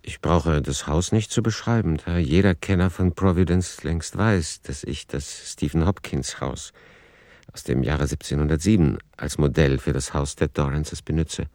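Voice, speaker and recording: Radio play author-commentator: low-pitched, sober, essayistic delivery in a dry studio sound. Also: male voice, normal speed, darkish tone, no room sound. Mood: sober, reflective. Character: male author soberly commenting on his own manuscript.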